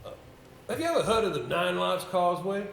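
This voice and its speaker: An echoey theatre recording of an actor as an older male solicitor. He is avuncular and musing, with a gravelly creak and lively swings of pitch as he rambles hesitantly. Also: brisk, heavily acted. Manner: hesitant, musing, throat-clearing. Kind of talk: amateur stage play acting. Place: indoor stage, picked up with room sound. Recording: roomy, noisy amateur theatre recording.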